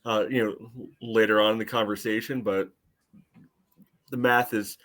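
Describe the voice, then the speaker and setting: A man co-hosting a soccer podcast, giving casual game analysis in a measured, conversational way. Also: lowish voice, level tone, a bit bright. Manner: measured, frequent "you know" asides. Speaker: soccer fan podcast co-host. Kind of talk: casual sports analysis chat.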